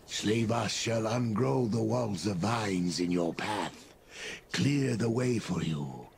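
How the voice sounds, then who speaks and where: Slow, low lizard-man tribesman. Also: a crowd in the background. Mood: grave, brooding. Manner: slow, solemn, halting broken-English delivery with breathy pauses. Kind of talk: video game character dialogue. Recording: game dialogue over music. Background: music.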